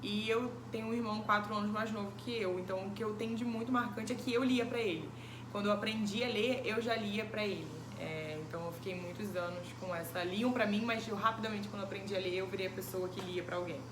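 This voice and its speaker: A woman's voice: mid-range voice, steady tone, fast. A woman, answering interview questions thoughtfully, with many hesitant fillers. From Brazil. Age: 20s. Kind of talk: casual recorded interview. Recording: echoey room with background noise.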